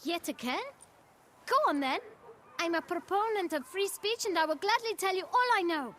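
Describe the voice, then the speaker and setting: A cheeky street urchin boy played by a woman with a very high, swooping voice. He is a chatty little bootblack in a fantasy game, all folksy patter, with faint ambience around him. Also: animated, moderate pace, a bit bright, a little hiss or hum. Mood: cheeky, eager. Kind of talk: video game voice acting.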